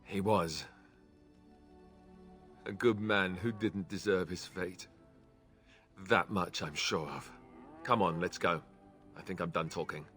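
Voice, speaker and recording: An actor voicing a wry, self-deprecating young warrior in a fantasy video game, loyal, a little awkward and conversational. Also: male voice, low-pitched, takes it slowly, pauses often, fairly bright tone, soft background music. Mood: wistful. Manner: joking, hesitant, conversational.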